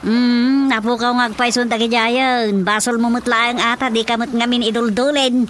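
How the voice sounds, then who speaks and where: A woman's voice: a little high, steady tone, moderate pace, lightly acted. A Filipino woman with an Ilocano accent playing a scolding, practical village wife in a radio drama comedy. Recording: light background noise.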